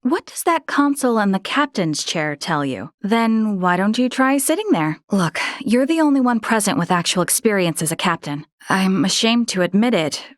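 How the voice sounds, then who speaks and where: A woman voicing an earnest, dutiful young female starship first officer in a video game, with formal, clearly articulated military speech. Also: mid-range voice, animated, moderate pace, hardly any pauses, no room sound, clean studio sound, natural voice. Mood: earnest, composed. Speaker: game character voice actor.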